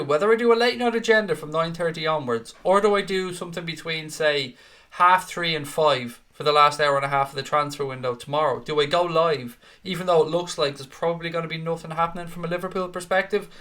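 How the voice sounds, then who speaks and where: A man's voice: fairly high pitch, sharp tone. A young Irish man hosting a casual live stream, chatting with viewers in an upbeat, talkative way with the odd swear. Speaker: host.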